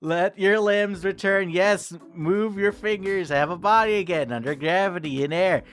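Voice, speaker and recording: A man's voice: sits high, normal speed, a bit bright. A man voicing an otherworldly being of the universe, narrating to a player in a theatrical, solemn read-along style over music. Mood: solemn, soothing. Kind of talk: dramatic read-along of a scripted dialogue. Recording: music behind the voice.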